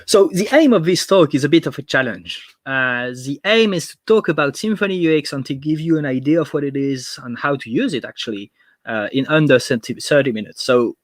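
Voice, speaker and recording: French-accented young man presenting over a video call; halting, dry, close-miked. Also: medium pitch, lively intonation, dry recording. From France. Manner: hesitant, frequent fillers and restarts. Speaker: conference speaker. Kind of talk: technical presentation. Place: home room.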